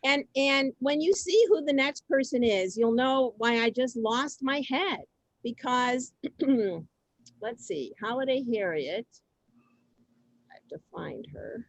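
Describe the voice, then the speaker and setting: A woman with a high voice moderates a community show on a video call. She is flustered and halting, repeats herself, and sounds close and dry. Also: expressive, no echo. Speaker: host of a community reader's theater show. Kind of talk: semi-scripted moderating.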